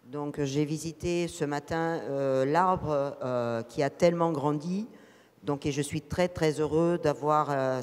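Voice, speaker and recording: Hesitant interpreter man, high voice. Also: clear, resonant tone. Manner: hesitant, frequent filler "euh". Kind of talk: live consecutive interpreting. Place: ceremony hall. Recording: long reverb in a big hall.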